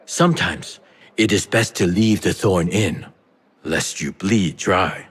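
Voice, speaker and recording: An actor playing a sly, theatrical merchant and smuggler with a teasing, playful manner in a video game. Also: male voice, deep voice, slow pace, breathy edge, heavily acted. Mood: grave, wistful. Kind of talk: video game cutscene acting. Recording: music behind the voice.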